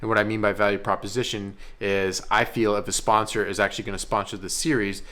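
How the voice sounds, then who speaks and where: Guy with a lowish voice vlogging, casual and earnest, kinda searching for words. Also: level tone, fast talker, fairly bright tone. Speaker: vlogger and filmmaker. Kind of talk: casual vlog chat.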